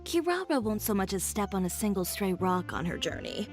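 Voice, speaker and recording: A poised young shop owner from a video game played by a woman, speaking in a mid-range voice. She is articulate, frank and matter-of-fact, with clear background music. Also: mid-range voice, big pitch swings, a little fast, close to an everyday voice. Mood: composed.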